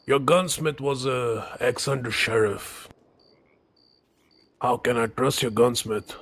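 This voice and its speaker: A man's voice: medium pitch, slow pace, fairly bright tone. A man playing a wary, distrustful Old West character in improvised roleplay, direct and challenging.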